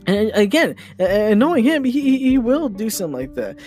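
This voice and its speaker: A young man hosting a podcast in a rambling, opinionated monologue, halting and repeating phrases with emphatic, mildly sweary delivery. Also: high voice, sing-song, a little mellow. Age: young adult. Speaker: podcast host. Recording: guitar music behind the voice. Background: guitar music.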